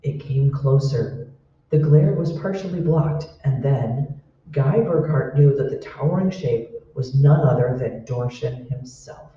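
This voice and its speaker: A man's voice: medium pitch, unhurried, warm tone. A man narrating a scripted radio drama in a dramatic storytelling style. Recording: echoey hall, distant, muffled.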